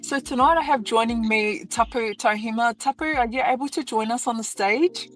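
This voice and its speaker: A woman, hosting a casual livestream chat in a relaxed way with many fillers. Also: on the high side, normal speed, faint music behind, rough recording. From New Zealand. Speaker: livestream host and founder.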